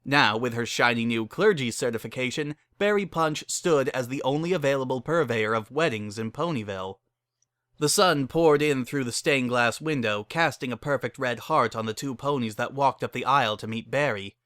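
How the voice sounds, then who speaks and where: A man narrates a story as a dramatic reading. His voice is bright and mid-pitched, recorded very dry and close. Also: moderate pace, no room sound. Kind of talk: dramatic reading of fan fiction.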